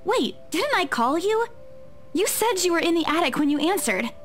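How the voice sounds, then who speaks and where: A woman's voice: very high-pitched, big pitch swings, normal speed, soft background music, pitched above the performer's own voice. A woman voicing a dazed young female realtor who hesitates and stammers after a fall. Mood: confused.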